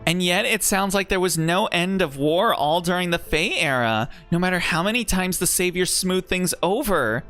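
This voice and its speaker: A man's voice: sits high, lively intonation, normal speed, few pauses, pushed and bright, faint music behind, pitched above the performer's own voice. A man voicing a sly male fairy guide who speaks in a lofty, teasing storyteller tone.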